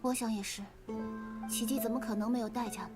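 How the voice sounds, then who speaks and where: A woman's voice: fairly high pitch, fairly even intonation, quick pace, darkish tone, faint music behind, lightly acted. A woman voicing a curt, moody and proud young woman in a fantasy game, with a cool, dismissive manner. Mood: bitter. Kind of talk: game voice acting.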